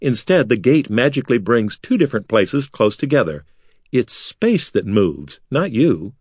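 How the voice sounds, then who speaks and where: A man voicing a smug, sharp-tongued female wizard who explains things in a dry, lecturing way. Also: mid-range voice, very animated, unhurried, slightly rough, dry recording. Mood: explaining. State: rasping after being choked.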